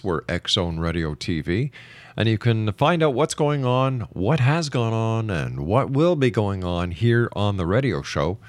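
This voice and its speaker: A man with a very low voice hosts a radio interview show. He speaks like a formal announcer, recorded close and dry. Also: no room sound. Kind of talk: radio interview hosting, reading a guest introduction.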